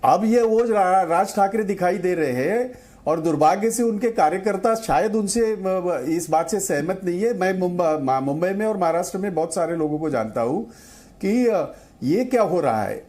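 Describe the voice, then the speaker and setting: A man speaking as a panelist in a televised political debate, slow and hesitant, with many pauses and fillers. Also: high voice, animated, a little mellow.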